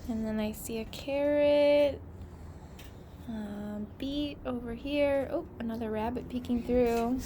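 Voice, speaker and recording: Slow-paced woman reading to children, noisy recording. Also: fairly high pitch, steady tone, warm tone. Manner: pauses to comment and question. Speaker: teacher reading aloud to children. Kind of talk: read-aloud storytelling with questions to children.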